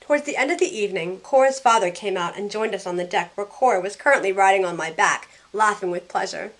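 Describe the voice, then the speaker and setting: Woman as young woman, medium pitch, wry, self-questioning, first-person storytelling, audio drama. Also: quick pace, a bit bright, natural voice. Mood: bright, playful.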